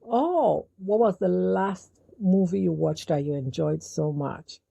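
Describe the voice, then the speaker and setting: A Nigerian woman with a Nigerian accent, a podcast guest calmly explaining her work with young people. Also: lowish voice, takes it slowly, creaky, breathy edge, dry recording. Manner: calm, explanatory, eases into points with fillers. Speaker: guest, youth mental health foundation founder. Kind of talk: casual interview conversation.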